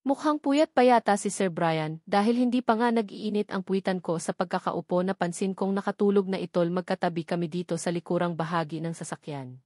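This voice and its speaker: A woman's voice: fairly low pitch, brisk, darkish tone, no echo, studio quality. A woman reading a first-person romance novel aloud as a scripted audiobook narration.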